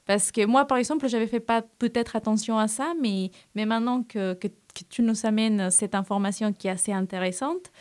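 A woman's voice, pitched a little high, in the role of a radio host. She talks conversationally with her guests, gently prompting and encouraging them as she goes. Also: fairly quick, hardly any pauses. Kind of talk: conversational interview with young guests.

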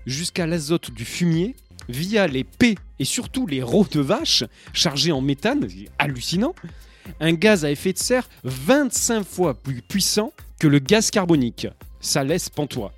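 A man's voice: fairly high pitch, sing-song, unhurried, slightly rough, faint music behind. A man hosting a community radio show, reading announcements with many hesitations and restarts. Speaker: radio host.

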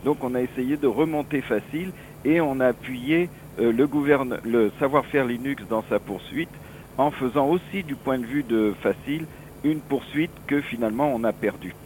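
A mature man with a French accent from France speaks as an interview guest over the telephone, with faint noise on the line. His explanations are halting and digressive, in a mid-pitched voice. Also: a little mellow, rough recording. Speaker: association president, interview guest. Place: office, on the phone.

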